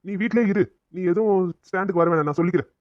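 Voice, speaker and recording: A warm-hearted, brotherly auto driver, acted by a man, in an animated drama. His voice is on the high side and he fires off his lines very fast. The recording is a bit dull and dry. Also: no room sound, lightly acted. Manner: brisk, big-hearted scolding.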